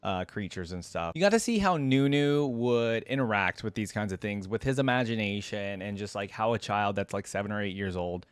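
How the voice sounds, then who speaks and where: A man's voice: fairly low pitch, brisk, few pauses, smooth, no echo. A man giving casual, informal reaction commentary as a video host, often checking in for agreement. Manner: chatty, informal, often seeks agreement with "right?".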